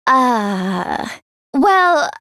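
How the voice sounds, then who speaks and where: A woman voicing a nervous, expressive teenage girl fighter in an English video game, with casual youthful phrasing. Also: high voice, extremely slow, no room sound, studio quality, heavily acted. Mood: thoughtful, flustered.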